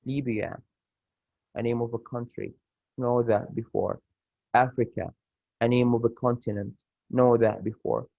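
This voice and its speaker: A man's voice: mid-range voice, steady tone, unhurried, gravelly, pauses often, dry recording. A male English teacher with an Arabic accent, giving a recorded grammar lesson in a hesitant way, with many fillers and restarts. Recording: dull dry lo-fi recording.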